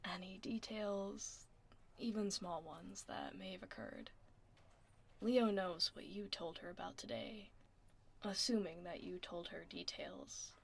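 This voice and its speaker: A woman playing a laid-back tomboy werewolf office admin in a soft, casual, wry ASMR roleplay. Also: medium pitch, slow pace, gravelly, slightly rough, full character voice. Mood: apologetic, businesslike. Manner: casual, reassuring, wry, murmuring. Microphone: close binaural ASMR microphone. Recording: close binaural mic, faint background noise.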